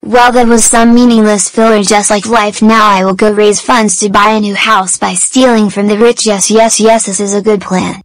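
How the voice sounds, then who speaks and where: A woman's voice: mid-range voice, level tone, normal speed, hardly any pauses, dry recording, natural voice, poor audio. A woman voicing a smug, scheming bald young boy in a crude cartoon parody. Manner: smug, gloating, repetitive.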